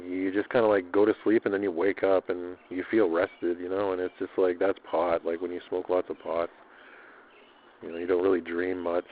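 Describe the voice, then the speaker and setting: A man with a deep voice talks through a personal audio log. His delivery is casual, rambling and slangy, circling back over his own phrases. The sound is thin and lo-fi, like a narrow radio channel. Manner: loose, slangy, swearing, repeats himself.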